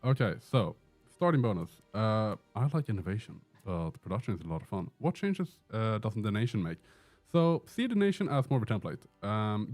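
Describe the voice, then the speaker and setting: A man's voice: fairly low pitch, darkish tone. A man with a Swedish accent, chatting and joking through a live gameplay stream while answering questions from chat. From Sweden. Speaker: game streamer. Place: indoor room with a door.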